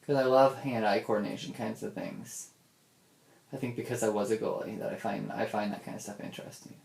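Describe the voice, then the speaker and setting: A man's voice: fairly low pitch, fairly even intonation, fairly quick, vocal fry, darkish tone, reverberant. A young man thinking aloud in a casual audio diary, hesitant and often circling back on himself with repeated filler words. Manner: hesitant, repetitive, self-interrupting with many "like"s. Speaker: audio diarist.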